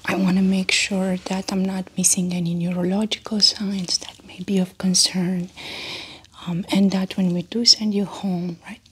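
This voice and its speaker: A woman doing a soft-spoken ASMR medical roleplay as a doctor, often whispering, with gentle, reassuring step-by-step instructions. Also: mid-range voice, little pitch movement, moderate pace, slightly rough. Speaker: ASMR performer playing a doctor.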